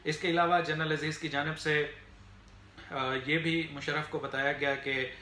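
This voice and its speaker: A man with a Pakistani accent giving unscripted political commentary to viewers in a halting, explanatory way, with frequent filler phrases. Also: fairly high pitch, level tone, pushed and bright. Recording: echoey room, faint background noise.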